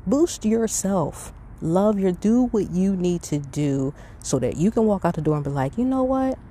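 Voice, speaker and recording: A woman's voice: fairly low pitch, lively intonation, normal speed, warm tone, a little hiss or hum. A middle-aged woman hosting a podcast alone, talking off the cuff in a candid, rambling way and giving emphatic advice. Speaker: podcast host. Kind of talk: casual unscripted solo podcast monologue.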